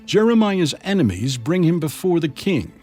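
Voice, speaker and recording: Male story narrator, mid-pitched and expressive, dramatic scripted delivery for children over faint music. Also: moderate pace.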